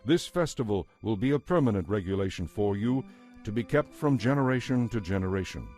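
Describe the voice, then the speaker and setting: A man narrating scripture in a solemn, measured and authoritative way, as part of a dramatized reading. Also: fairly low pitch, expressive, a little mellow. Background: background music.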